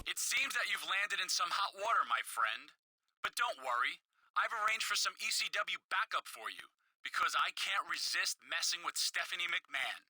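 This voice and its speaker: A man's voice: high-pitched, very animated, normal speed, sharp tone, dry recording, faint music behind, exaggerated voice, low-quality recording. A man voicing a sly, meddling wrestling promoter in a video game cutscene.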